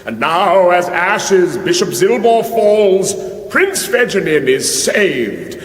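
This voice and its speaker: An actor playing a vain, pompous warrior prince of about thirty, declaiming archaic speech in a fantasy radio comedy. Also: male voice, sits high, big pitch swings, slow pace, exaggerated voice. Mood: boastful. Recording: echoey hall reverb, music behind.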